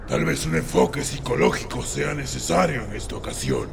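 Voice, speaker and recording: A man's voice: medium pitch, wildly swooping pitch, normal speed, noisy background, full character voice. A man with a Peruvian accent playing a commanding, scheming winged demon in a cartoon fan dub, with theatrical delivery. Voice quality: gravelly. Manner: imperious, theatrical. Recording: loud music behind the voice.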